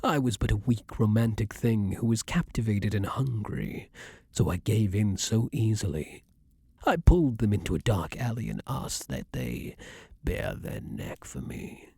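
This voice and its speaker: A man acting out an ancient vampire king for an intimate ASMR roleplay. The voice is low and husky, slow and dramatic, with haughty, formal phrasing over a brooding romantic streak. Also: expressive, mellow, no echo, clean studio sound, exaggerated voice. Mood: wistful, entranced. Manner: formal archaic phrasing, dramatic pauses, breathy murmurs.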